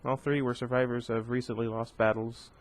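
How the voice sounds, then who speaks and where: A man's voice: mid-range voice, little pitch movement, a little fast. A man reading a novel aloud in a casual, unpolished way, stumbling over words and correcting himself. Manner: reads plainly, stumbles and corrects himself, breaks off for joking asides. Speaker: reader of a novel. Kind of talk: casual read-aloud with asides.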